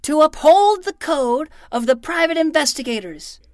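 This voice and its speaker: A woman's voice: high voice, lively intonation, normal speed, no echo, clean studio sound. A woman playing an earnest, self-important twelve-year-old boy detective in a fiction podcast, speaking in a formal, theatrical way. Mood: solemn.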